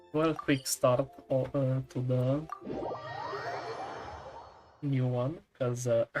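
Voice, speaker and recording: A man who is sick, his voice stuffed and nasal. He talks very slowly and haltingly while gaming, clearing his throat and filling gaps with hesitant fillers. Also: mid-range voice, steady tone, music in the background. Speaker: gamer. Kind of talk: casual chat while gaming.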